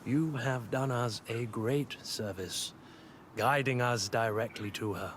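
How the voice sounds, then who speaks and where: A man's voice: medium pitch, slow pace, a bit bright, a little hiss or hum. A cold, ruthless henchman officer. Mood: cold, menacing. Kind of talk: dramatic video game acting.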